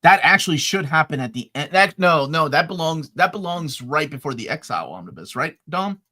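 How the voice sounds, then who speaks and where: A man's voice: a little high, fairly quick. A man from Peru and the United States with a light Midwestern accent, hosting a casual live-stream chat and telling stories with laughter. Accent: light Midwestern American. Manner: excitable, laughing, animated storyteller. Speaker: host.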